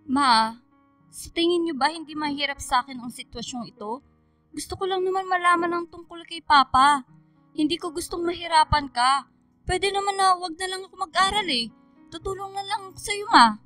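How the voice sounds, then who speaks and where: A woman's voice: extremely high pitch, moderate pace, bright, forceful tone, faint music behind. A woman playing an anxious, testy teenage schoolgirl in a Filipino radio drama. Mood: pleading.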